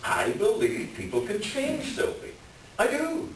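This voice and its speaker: A man playing a hapless middle-aged swindler doctor in a staged reading, pleading and self-deprecating, with a halting, wheedling delivery. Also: high voice, huge pitch swings, exaggerated voice. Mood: earnest pleading. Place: echoey room, far from the mic. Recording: distant echoey room with hiss.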